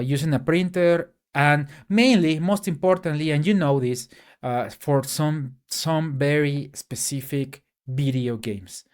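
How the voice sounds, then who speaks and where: Slow, halting Mexican-accented man. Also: fairly high pitch, a little mellow, smooth. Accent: Mexican Spanish. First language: Spanish. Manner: hesitant, many fillers and restarts. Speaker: tech YouTuber. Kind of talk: casual solo rant to camera.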